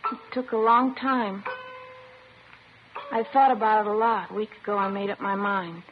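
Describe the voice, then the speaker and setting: A woman's voice: mid-range voice, fairly even intonation, moderate pace, faint music behind, lightly acted. A woman playing a sullen, defensive schoolgirl in a 1950s radio crime drama, petulant and emotionally detached. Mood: flat confession. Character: sullen, defensive, childlike schoolgirl confessing to police. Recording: muffled, noisy old 1950s radio recording.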